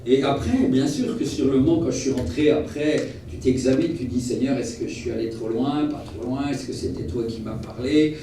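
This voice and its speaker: A man preaching as a travelling evangelist, telling personal stories in an earnest, animated way and repeating phrases for emphasis. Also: medium pitch, moderate pace, hardly any pauses. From Portuguese family origin. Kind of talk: sermon with personal storytelling. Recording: echoey hall, distant mic, faint noise.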